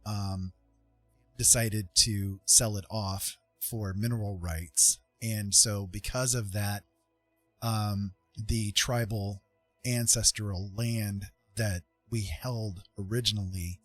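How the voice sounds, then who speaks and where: A middle-aged man with an American accent talks in a fairly low voice over faint music. He is chatting on a livestream in a reflective, casual way. His delivery is earnest and emphatic, with halting pauses as he thinks. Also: steady tone, no room sound. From Indiana, United States. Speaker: livestream host.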